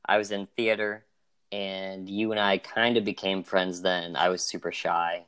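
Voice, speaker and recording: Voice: sits low, little pitch movement, measured pace, a bit bright, clear, resonant tone. A podcast host in their twenties asking casual, friendly, teasing questions. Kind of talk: casual chat.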